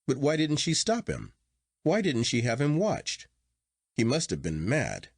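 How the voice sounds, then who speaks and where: Agitated Victorian gentleman for audiobook fiction, played by a male reader. Incredulous, exclaiming, pitch swinging widely. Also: huge pitch swings, a little slow, dry recording, studio quality, full character voice. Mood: shocked, incredulous. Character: horrified, incredulous gentleman narrator of a Victorian story.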